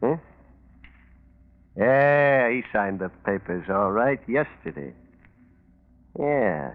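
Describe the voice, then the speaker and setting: Cocky small-time crook, tinny old radio. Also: male voice, lowish voice, big pitch swings, drawn-out words, full character voice. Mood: smug, gloating. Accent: American. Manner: slangy, wisecracking. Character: cocky small-time crook, smug double-crosser, male. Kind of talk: 1940s radio acting. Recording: tinny 1940s radio recording.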